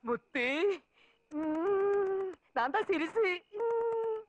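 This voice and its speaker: A man's voice: extremely high pitch, big pitch swings, drawn-out words, no echo, lo-fi sound, heavily acted. A man playing a timid younger sidekick, pleading and wheedling in a broad comedy film style. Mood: nervous, pleading.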